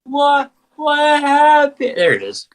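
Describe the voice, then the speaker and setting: A young man bantering as co-commentator after a game, playful and quick to laugh. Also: very high voice, wildly swooping pitch. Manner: playful, giggly, teasing. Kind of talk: casual post-game banter.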